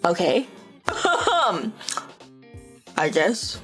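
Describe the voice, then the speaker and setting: A woman streaming as a VTuber, chatting casually and reading stories aloud, quick to exclaim, swear and laugh. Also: lowish voice, animated, breathy edge, soft background music.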